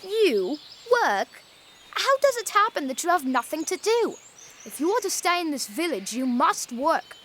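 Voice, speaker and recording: A bossy village child with a high, lively voice. The lines are mocking and incredulous, in period audio drama style, over faint background noise. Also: female voice, measured pace, full character voice. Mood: scolding, incredulous. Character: teasing, bossy village child named Jacques, hard-working. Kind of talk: historical audio drama acting.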